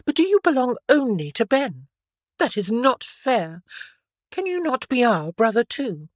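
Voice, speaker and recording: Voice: medium pitch, very animated, a little slow, dry recording, pitched above the performer's own voice. A narrator voicing an eager, chatty, polite teenage girl of the gentry in an audiobook. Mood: eager, teasing. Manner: earnest, polite, chirpy. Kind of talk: audiobook character dialogue.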